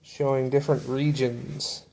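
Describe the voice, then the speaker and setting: A man showing off books from his shelf in a relaxed, rambling way with lots of fillers. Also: mid-range voice, unhurried, creaky, low-quality recording. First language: English. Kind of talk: casual show-and-tell.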